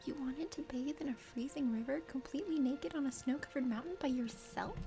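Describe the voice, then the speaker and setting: Woman as a plucky, high-voiced, exasperated young lady knight. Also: brisk, faint music behind. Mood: flustered, scolding. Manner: blunt, sarcastic, animated. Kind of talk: ASMR game character read-aloud.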